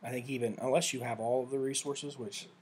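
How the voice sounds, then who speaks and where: A man giving a casual, rambling screen-share walkthrough of study software, often correcting himself mid-sentence. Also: mid-range voice, steady tone, brisk, breathy edge. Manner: rambling, self-correcting, conversational. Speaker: vlogger reviewing software.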